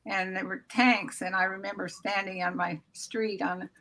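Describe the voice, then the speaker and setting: An older American woman in her seventies reminisces casually as an interview guest. Her voice is bright and creaky, at a middling pitch. She speaks from home over a video call. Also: little pitch movement, moderate pace. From Oklahoma, United States. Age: 70s. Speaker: guest author. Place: small room at home, indoors. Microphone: computer mic on video call.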